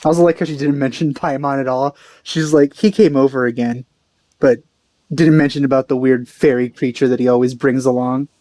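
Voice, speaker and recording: Mellow, casual let's play commentator. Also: mid-range voice, steady tone, brisk. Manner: reacts aloud, muses to self. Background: faint game music.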